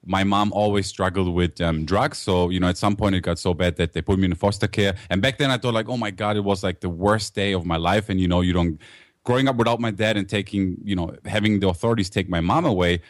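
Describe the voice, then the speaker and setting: A man's voice: low-pitched, fast, dry recording, studio quality. A man in his thirties with a German accent, telling his story as a guest with upbeat energy. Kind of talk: interview storytelling.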